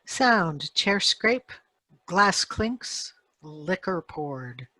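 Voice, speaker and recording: A woman's voice: lowish voice, big pitch swings, drawn-out words, sharp tone, no room sound. A woman reading out sound effect cues from a radio script in short, clipped phrases.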